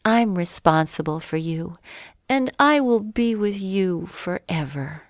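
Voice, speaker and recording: An innocent, tender little boy prince, played by a woman reading aloud in a children's storybook. The delivery is sincere and soft, with very varied pitch and a close, dry sound. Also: lively intonation, takes it slowly, no echo. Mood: devoted.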